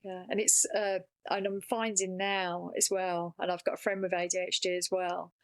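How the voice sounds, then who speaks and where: A woman in a casual podcast conversation, talking fast and excitedly. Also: fairly low pitch, no echo. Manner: fast, animated, excited talk. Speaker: podcast guest.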